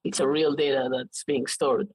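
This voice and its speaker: A man in his late twenties with an Israeli accent, talking casually as an interview guest in a halting way with frequent false starts. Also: mid-range voice, flat delivery, breathy edge, no room sound, low-quality recording.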